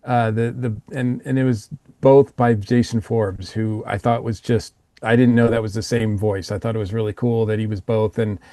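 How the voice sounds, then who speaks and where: A man giving a casual review as a podcast panelist, rambling and halting as he repeats words. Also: fairly low pitch, fairly even intonation, mellow, no room sound, studio quality.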